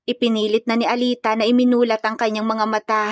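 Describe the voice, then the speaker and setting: A female storyteller narrates a scripted romance drama. Her voice is fairly high, and the recording is close and dry. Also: flat delivery, fast talker, no room sound.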